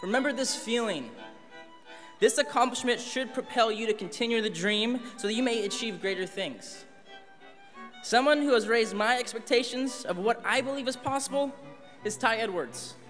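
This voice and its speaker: A man's voice: extremely high pitch, normal speed, fairly bright tone. A man giving a formal, inspirational speech in a large arena. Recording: reverberant arena PA. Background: prominent string music.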